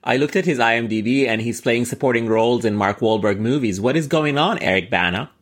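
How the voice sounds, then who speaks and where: A man co-hosting a film podcast, talking through performances in a steady, analytical way. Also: medium pitch, fairly quick, no echo, studio quality. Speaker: podcast co-host. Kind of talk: casual film discussion.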